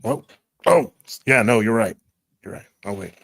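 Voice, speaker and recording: A man's voice: on the low side, a little slow, creaky, fairly bright tone, no echo. A man improvising in-character dialogue in a tabletop roleplay, talking in a casual, slangy way.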